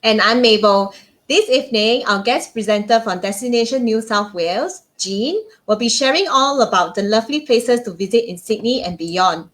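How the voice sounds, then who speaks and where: A woman's voice: a little high, expressive, moderate pace, a bit bright. A woman co-hosting a travel livestream, upbeat as she reads out announcements. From Singapore. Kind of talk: casual live-stream chat.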